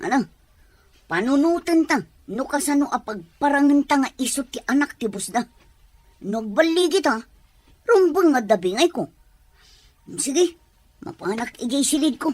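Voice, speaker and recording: Woman doing a greedy schemer lady in a radio-style drama, high pitched, pushy and coaxing. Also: animated, moderate pace, pauses often, a little mellow, dry recording. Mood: scheming. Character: scheming, money-hungry woman plotting a fraud. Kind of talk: amateur audio drama acting.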